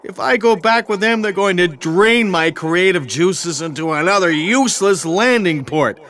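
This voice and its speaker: A man's voice: high voice, animated, moderate pace, a bit bright. A man playing a listless, self-absorbed alien master builder in a comic sci-fi audio drama. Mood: musing, inspired. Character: listless, burned-out alien master builder, male, scheming dreamer. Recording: clear background noise and ambience.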